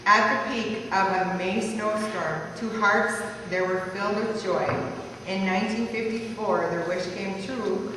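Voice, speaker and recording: A woman's voice: medium pitch, fairly even intonation, measured pace, a bit bright. A woman reading a rhyming life story aloud in a sing-song way at a family gathering. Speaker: family member reading a verse tribute. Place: large echoey room at a party gathering. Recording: echoey hall from far off, background noise.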